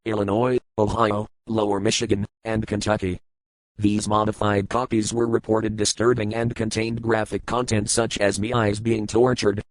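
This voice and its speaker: Male news announcer, slightly low pitch, monotone delivery, scripted bulletin. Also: dry recording, poor audio. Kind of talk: scripted breaking news narration.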